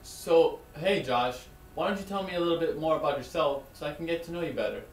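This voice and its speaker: A man's voice: on the high side, fast, natural voice. A young man playing a friendly, chatty college roommate in an amateur comedy skit, talking casually and a bit nosily. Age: young adult. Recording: echoey room with faint background noise.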